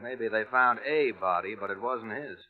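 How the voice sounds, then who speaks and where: An actor playing a plain-spoken American Navy veteran, colloquial and confiding, spinning a yarn in a 1940s radio drama. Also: male voice, lowish voice, moderate pace, natural voice. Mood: cagey, confiding. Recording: tinny vintage radio recording, music behind.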